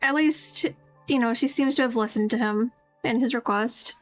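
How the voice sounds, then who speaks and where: A woman's voice: fairly high pitch, normal speed, faint music behind. A woman casually commenting on a game as she streams, musing and rambling as she goes. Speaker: streamer playing a story game.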